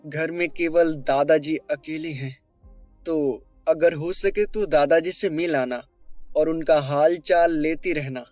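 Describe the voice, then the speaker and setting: A man playing a devoted young boss in a cartoon love story, courteous and sincere. His voice sits fairly high, with music behind it. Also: steady tone, slow, darkish tone, no echo. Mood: businesslike. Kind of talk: Hindi animated melodrama dialogue.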